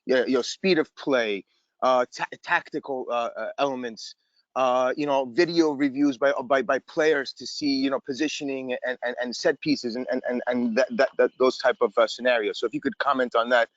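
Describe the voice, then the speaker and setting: A man's voice: mid-range voice, steady tone, normal speed, no room sound. A man from the audience earnestly thanking the guest and asking a question, stumbling over repeated words. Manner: earnest, effusive, stumbling repetitions. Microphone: video call. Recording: dull dry video-call audio.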